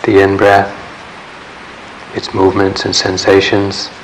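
A man leading a guided meditation in a calm, measured way, leaving long pauses between short phrases. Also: low voice, poor audio. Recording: steady background hiss.

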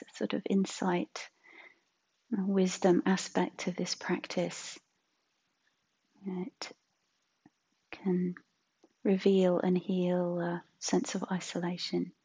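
A woman teaching a guided meditation in a soft, breathy, husky voice, gentle and unhurried with long pauses. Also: on the low side, muffled recording.

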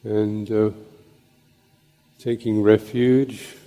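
Very mellow, slow man guiding meditation. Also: lowish voice, flat delivery. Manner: contemplative, halting, long pauses between short phrases. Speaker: Buddhist monk and meditation teacher. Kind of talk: guided meditation and Dhamma talk. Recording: long reverberant hall echo.

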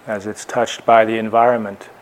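A man giving guided meditation instruction as a teacher, speaking in short, unhurried phrases with long pauses. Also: on the low side, level tone, poor audio. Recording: clear background noise, room ambience.

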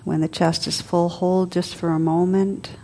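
A woman leading a guided meditation as a teacher, soothing and unhurried, speaking in short phrases with long pauses. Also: on the low side, level tone, mellow. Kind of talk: guided meditation, spoken to a group.

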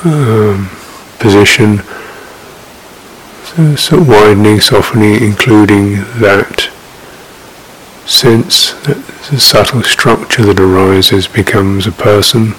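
A man guiding a meditation in an unscripted, halting, reflective way, leaving long pauses between phrases. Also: lowish voice, slightly rough, poor audio. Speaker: meditation teacher. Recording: steady background hiss.

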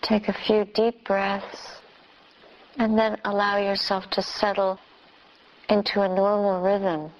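A woman who is a Buddhist nun leading a guided meditation, speaking in short phrases with long pauses. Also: mid-range voice, little pitch movement, muffled recording.

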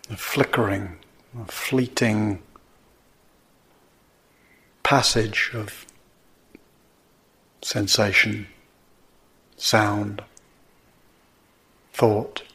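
A man teaching meditation, guiding gently and in a measured way, with pauses between short phrases. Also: fairly low pitch, fairly even intonation. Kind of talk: guided meditation.

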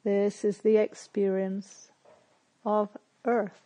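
A woman meditation teacher speaks very slowly in a soft, mellow voice. Her phrases are short and measured, with long pauses between them. The delivery is gentle and quietly instructive, at a medium pitch for a woman. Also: flat delivery. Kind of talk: guided meditation, slow and spare with long silences.

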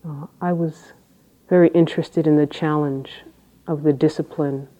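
A woman Zen teacher with a low voice, giving an unhurried dharma talk with halting phrases and long pauses. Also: fairly even intonation, warm tone.

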